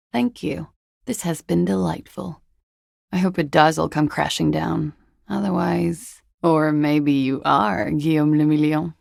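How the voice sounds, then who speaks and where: A woman's voice: low voice, gravelly, darkish tone, no room sound, full character voice. An actress playing a cool, sardonic, world-weary young woman in a video game, speaking with languid, dry politeness in an intimate voice. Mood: wry. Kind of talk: video game character dialogue.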